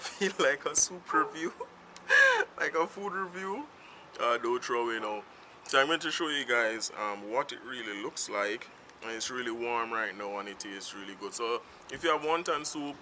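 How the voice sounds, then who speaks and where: Jamaican man, vlogger, very bright tone, rambling casual talk, self-filmed outdoors, faint traffic behind. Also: medium pitch. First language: Jamaican Patois. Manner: rambling, unscripted, free-flowing. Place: outdoors in town, in the sun. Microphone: self-filmed camera. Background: faint street traffic.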